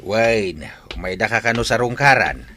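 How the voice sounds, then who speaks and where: An actor playing a brisk, teasing old father of a household in an Ilocano radio drama. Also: lowish voice, lively intonation, sharp tone, slight background noise.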